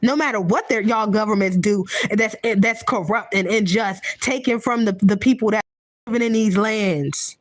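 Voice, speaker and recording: A woman's voice: mid-range voice, normal speed, dry recording, clean studio sound. A woman giving a heated, profane, unscripted rant to her viewers, blunt and repetitive, often restarting her sentences. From United States. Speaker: vlogger.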